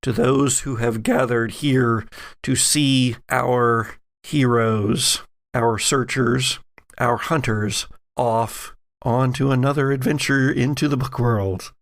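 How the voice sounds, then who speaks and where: A man running a tabletop role-playing game as host, narrating deliberately and dramatically in short phrases. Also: medium pitch, steady tone, dry recording, clean studio sound. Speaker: game master and podcast host.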